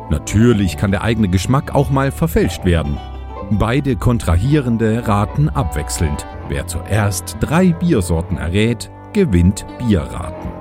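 A man delivers scripted rules like a theatrical announcer. His lowish voice swings widely in pitch, mock-formal throughout. Music plays clearly underneath. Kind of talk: scripted narration.

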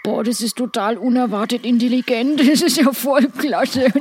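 Performer as tabletop game sidekick: naive, eager, easily uneasy strongman, colloquial, husky voice. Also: mid-range voice, a little slow. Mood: excited. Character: Kalle, strong beer-drinking simple-hearted sidekick, uneasy but eager. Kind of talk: improvised role-play.

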